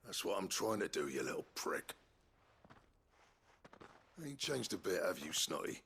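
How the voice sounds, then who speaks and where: Gravelly, gruff blacksmith. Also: male voice, low-pitched, very animated, measured pace, a bit bright, slight background noise, full character voice. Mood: sarcastic, irritable. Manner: grumbling, blunt, colloquial, sweary. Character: gruff, proud, foul-mouthed village-born master blacksmith. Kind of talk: video game voice acting.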